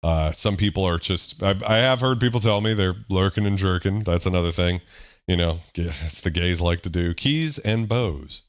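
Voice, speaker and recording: A man hosting a casual livestream, thinking aloud in a relaxed, slightly growly voice as he tries out sounds. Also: low voice, moderate pace. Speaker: livestream host. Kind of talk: casual livestream chat while demoing a synth. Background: loud synthesizer playing.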